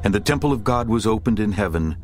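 A man narrating a dramatized scripture reading in a steady, measured way. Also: lowish voice. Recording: narration over background music.

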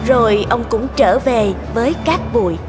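A woman with a high-pitched voice narrates a folk tale in a scripted storybook style. Music plays clearly behind her words. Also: lively intonation, moderate pace.

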